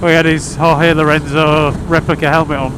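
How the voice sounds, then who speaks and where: Englishman chatting over loud road noise. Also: a little high, little pitch movement, normal speed. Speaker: motorcycle vlogger. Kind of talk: casual chat over a motorbike intercom. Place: outdoors, largely riding a motorbike. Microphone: helmet intercom microphone. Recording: loud wind and engine noise. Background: wind, motorbike engine and road noise.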